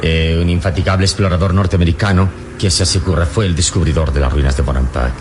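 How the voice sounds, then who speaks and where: A man as a very deep-voiced, solemn adventurer hero. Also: steady tone, natural voice. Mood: courteous, solemn. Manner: grandiloquent, measured, courteous. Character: wise, bold adventurer hero, gallant and solemn. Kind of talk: old radio serial acting. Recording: noisy old radio serial, vehicle drone.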